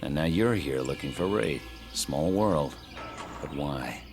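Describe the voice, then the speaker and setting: A man voicing a gruff, sardonic, tough mutant superhero in a video game, with terse delivery. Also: very deep voice, animated, takes it slowly, over music, heavily acted. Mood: guarded, sardonic.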